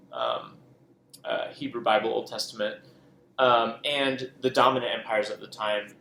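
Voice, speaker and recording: A man's voice: mid-range voice, fairly bright tone. A man co-hosting a podcast, chatting casually about books he read, rambling with lots of ums and self-corrections. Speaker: podcast co-host. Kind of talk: casual book chat. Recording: echoey room with faint noise.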